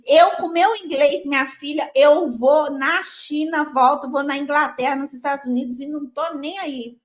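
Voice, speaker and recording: Woman, high voice, playful, exclamatory, chatty co-host. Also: fairly even intonation, normal speed. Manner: chatty, playful, exclamatory, addresses viewers directly. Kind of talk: casual chat. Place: lives in Italy.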